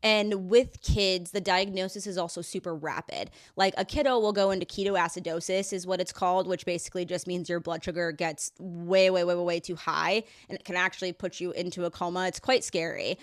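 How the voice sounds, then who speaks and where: A woman's voice: on the low side, fairly even intonation, a little fast, hardly any pauses, dry recording, studio quality. Woman, podcast host, casual chat, upbeat, slightly stuffy from a cold. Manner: upbeat, chatty, explains things plainly.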